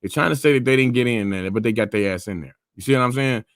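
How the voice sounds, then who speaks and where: A man in casual talk who turns animated and laughs between his points. His voice sits in a middle register. It is recorded dry, with no room echo. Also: mid-range voice, fast. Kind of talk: casual conversation and reading aloud.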